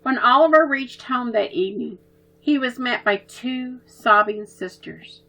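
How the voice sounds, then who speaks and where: Woman storyteller, gentle and expressive, high voice, unhurried. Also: soft background music, low-quality recording. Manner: slow, gentle, expressive reading. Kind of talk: children's story reading.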